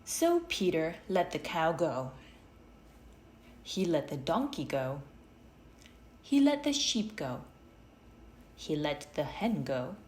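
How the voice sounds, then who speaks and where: A woman reading a children's story aloud with a deliberate, expressive cadence. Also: deep voice. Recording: roomy, noticeable background noise.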